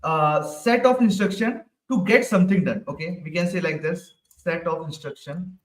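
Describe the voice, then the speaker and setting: A man's voice: sits high, moderate pace. A man teaching a live online programming class, asking the class questions over and over and ending his sentences with "right?". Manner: repeats questions to the class, ends lines with "right?". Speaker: programming instructor. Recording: echoey room, mic at a distance.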